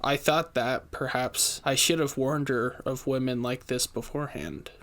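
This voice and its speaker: A man narrating a scripted first-person gothic mystery story in a measured, brooding manner. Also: mid-range voice, fairly even intonation, a bit bright.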